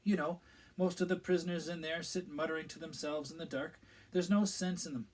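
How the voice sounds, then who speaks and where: A fussy, pompous middle-aged minister from a storybook, as a male narrator puts him on. His voice sits higher than the reader's own and carries a lot of self-importance. He is evasive under the bluster. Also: steady tone, brisk, a little hiss or hum, lightly acted. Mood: solemn, pompous.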